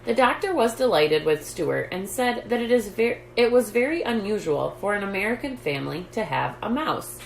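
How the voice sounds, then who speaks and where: A woman hosting story time, reading a children's book aloud in an expressive, deliberate, emphatic way. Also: medium pitch, gravelly. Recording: roomy echo, background noise.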